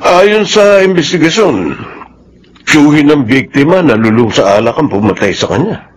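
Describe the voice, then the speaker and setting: An actor playing a formal, matter-of-fact police officer in a Filipino radio drama, with a Filipino accent. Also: male voice, on the high side, very animated, moderate pace.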